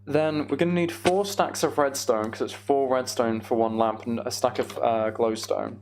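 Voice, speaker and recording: A man's voice: medium pitch, fairly even intonation, moderate pace, a little mellow, a little hiss or hum. A man streaming a video game, chatting casually and thinking aloud as he works things out. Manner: muttering to himself while working things out. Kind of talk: casual live gameplay commentary, thinking aloud.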